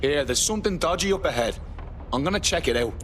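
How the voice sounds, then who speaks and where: An actor playing a wary, foul-mouthed resistance operative in a video game. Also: male voice, fairly high pitch, animated, fast, a bit bright, a little hiss or hum. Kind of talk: video game cutscene acting.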